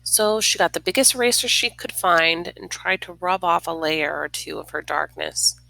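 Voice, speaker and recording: A woman reading a children's story aloud expressively, with dramatic pauses, hushed near-whispers and casual asides. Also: on the low side, lively intonation, vocal fry, no room sound, rough recording. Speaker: storybook reader.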